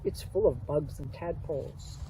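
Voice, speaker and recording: A woman's voice: deep voice, unhurried. A woman voicing a grumpy male snapping turtle with a blunt manner in a storybook reading. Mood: decided. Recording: distant mic, faint outdoor noise.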